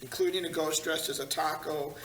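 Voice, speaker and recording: A man's voice: on the high side, steady tone, a little fast. A man reading stage directions as narrator at a screenplay table read in a meeting room. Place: large meeting room, group around tables. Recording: echoey, distant, slightly noisy room.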